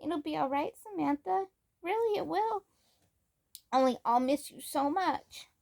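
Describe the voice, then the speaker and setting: A frail little servant girl from a storybook, as a woman reads her. She speaks in a high, soft-hearted way, gentle and bravely resigned. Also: animated, unhurried, creaky, exaggerated voice. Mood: tearful, brave.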